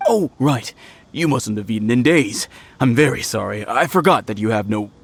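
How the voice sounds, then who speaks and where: A man's voice: mid-range voice, expressive, normal speed, gravelly, breathy edge. A man voicing a polite, earnest, wealthy innkeeper in a video game. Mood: apologetic. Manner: courteous, earnest.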